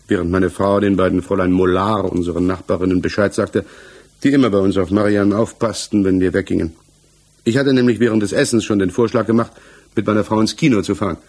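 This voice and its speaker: Halting, husky, troubled male defendant. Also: lowish voice, steady tone, darkish tone. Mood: tense recounting. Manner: halting, searching for words, defensive. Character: troubled male defendant, married father, haunted and evasive. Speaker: actor in a radio crime drama. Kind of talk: 1960s German radio play acting. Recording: 1960s mono radio production.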